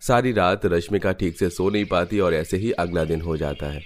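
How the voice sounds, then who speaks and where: Guy narrating a hindi cartoon story, really deep voice, scripted storyteller read. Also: normal speed.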